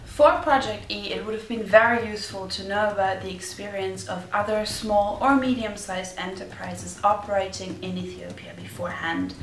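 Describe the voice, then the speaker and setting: A woman's voice: mid-range voice, a bit bright. A woman giving a formal testimonial as a company representative. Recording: echoey room, slightly distant mic.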